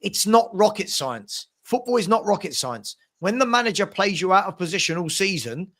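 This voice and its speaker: A man with a high voice talks through a football debate in a fan chat show. He is argumentative and keeps circling back to his point, insisting on it. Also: lively intonation, moderate pace, a bit bright. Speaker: co-host of a fan podcast. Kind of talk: heated casual debate.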